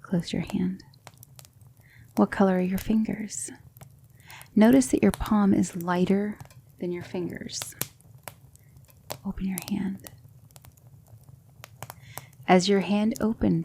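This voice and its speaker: Slow, gentle demon mentor played by a woman. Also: mid-range voice, gravelly, a little hiss or hum. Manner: slow, deliberate, coaxing instruction, often dropping to a whisper. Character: gentle female demon mentor teaching magic, patient, reassuring. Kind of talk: ASMR roleplay, soft scripted acting.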